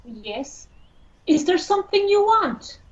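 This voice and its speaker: A no-nonsense stable woman, played by an actress in a radio play. Her voice is extremely high, and she speaks slowly and firmly, curt and thoroughly unimpressed. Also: big pitch swings, highs cut off, exaggerated voice. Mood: businesslike, impatient. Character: curt, unbudging woman working at racing stables.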